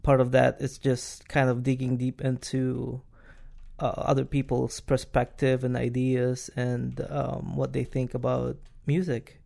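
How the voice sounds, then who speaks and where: A man hosting a podcast interview, asking questions in a halting way with many fillers and restarts. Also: medium pitch, completely flat delivery, vocal fry, warm tone. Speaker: podcast host. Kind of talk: casual interview chat.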